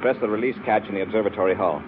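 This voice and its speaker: An actor playing a brisk space patrol commander with a crisp, commanding manner in a 1950s radio serial. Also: male voice, on the low side, lightly acted. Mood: businesslike. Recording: tinny, noisy 1950s radio recording.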